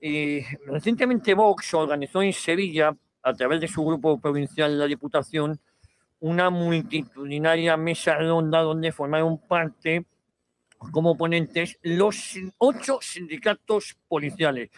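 A Spanish man hosts a radio interview from an outdoor terrace, his voice sitting a bit high. He stops and restarts often, padding his questions with fillers. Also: dry recording. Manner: halting, many fillers and restarts. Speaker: radio host interviewing candidates. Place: outdoor terrace, large round table. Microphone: desk microphone on stand.

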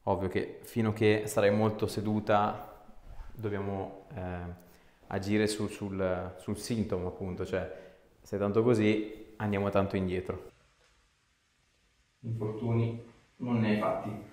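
Man, 30s, chiropractor; deepish voice, brief informal instructions, very reverberant treatment room. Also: little pitch movement, unhurried, long pauses, darkish tone. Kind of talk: giving instructions and casual explanations to a patient. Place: small indoor treatment room. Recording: very echoey small room.

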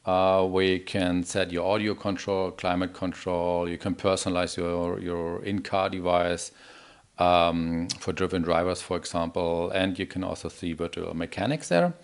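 A middle-aged man giving a live product demo in a halting, explanatory manner. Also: low-pitched, steady tone, smooth. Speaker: product demonstrator.

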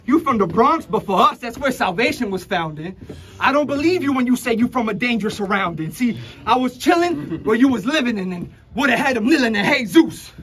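A man's voice: very high-pitched, animated, a little fast, a bit bright. A young man from the Republic, rapping a taunting battle verse in a crowded indoor room. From Dominican Republic. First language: Spanish. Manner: aggressive, taunting, punchline-heavy. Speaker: battle rapper. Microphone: handheld camera at close range.

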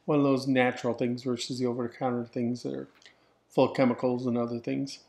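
A man's voice: medium pitch, flat delivery, fast talker, vocal fry, a little mellow. Easygoing middle-aged man rambling. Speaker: vlog host. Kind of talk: casual chat. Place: living room, on a couch. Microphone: camera in front.

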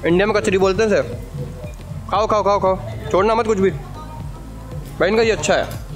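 A man's voice: high-pitched, steady tone, fairly quick, soft background music. A man in his thirties casually narrating a travel vlog outdoors into a handheld camera, chatty and joking with his companions. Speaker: travel vlogger. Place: outdoors on a sunny beach and street.

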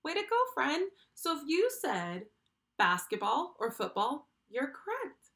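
A young woman hosting a children's lesson, speaking directly to the child with animated, encouraging praise. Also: high voice, unhurried. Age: young adult. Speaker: children's read-along host and school speech therapist. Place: small furnished room at home, indoors.

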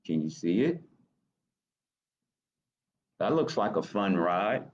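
An American man in middle age reads a children's picture book aloud at an unhurried pace. His pitch swings expressively as he stops to ask little questions. The webcam recording sounds slightly dull. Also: big pitch swings, a little hiss or hum. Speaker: library storytime reader. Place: small office, indoors.

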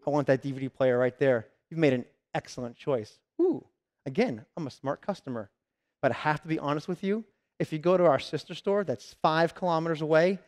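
Lively man giving a keynote on stage. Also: a little high, clear, resonant tone. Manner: lively storytelling, acting out customers and salespeople. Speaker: keynote speaker. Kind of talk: conference keynote. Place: large conference stage. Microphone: headset mic.

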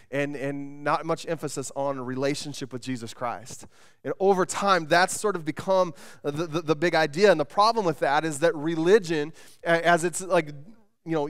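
Guy pastor preaching on a church stage, bit high voice, smooth, lots of "and, and" restarts. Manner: conversational, lots of repeated words and restarts. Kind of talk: sermon. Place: church stage with band gear, indoors.

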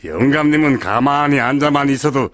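An actor playing a cheerful older village elder who is a guest at a feast, speaking in a jovial, admiring way. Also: male voice, mid-range voice, animated, a little fast, fairly bright tone, lightly acted.

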